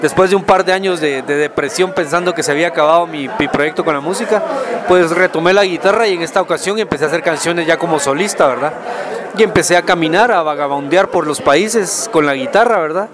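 A man's voice: fairly high pitch, quick pace. A Guatemalan man giving reflective, conversational interview answers, often checking in with short tag questions. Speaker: guest singer-songwriter. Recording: music and crowd noise behind.

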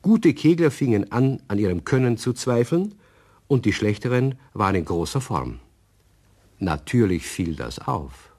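A man narrating a children's radio play as an expressive, husky-voiced storyteller. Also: fairly low pitch, a little slow, heavily acted. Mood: amused storytelling.

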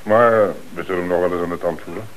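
A man acting a calm, confident police commissioner with measured authority in a Dutch radio play. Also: deep voice, dull audio, lightly acted. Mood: firm, questioning. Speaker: actor in a radio crime play. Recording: noisy, band-limited old radio recording.

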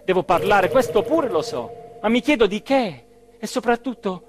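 An actor playing an anxious, self-mocking southern Italian small-town mayor in a theatrical radio monologue, moving between muttering and loud declaiming. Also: male voice, sits high, expressive, moderate pace. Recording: very noisy radio drama recording with ambience.

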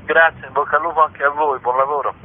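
A man's voice: on the high side, fairly even intonation, fast talker, soft background music. A man being interviewed on radio, presenting information as an institution's representative over the telephone. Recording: muffled tinny phone line on radio.

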